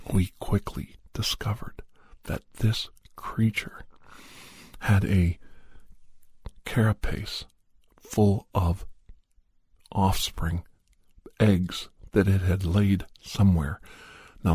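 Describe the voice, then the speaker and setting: Very low, breathy male ASMR storyteller. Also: no room sound. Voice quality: soft, breathy, often dropping into whisper. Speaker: podcast host narrating a story in character as a doctor. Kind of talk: ASMR storytelling, first-person fiction.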